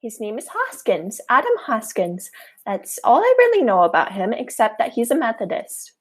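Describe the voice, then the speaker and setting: A teenage woman playing a sweet, unflappable elderly aunt, genteel and gently scolding, in a high school radio play. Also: huge pitch swings, normal speed. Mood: calm, matter-of-fact.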